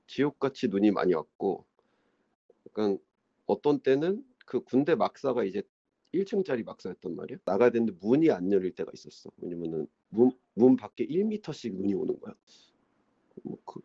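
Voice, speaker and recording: Fast-talking mellow man, dull recording. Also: lowish voice, animated, gravelly, slightly rough, no echo. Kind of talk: casual recollection in conversation. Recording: dry, dull lo-fi sound.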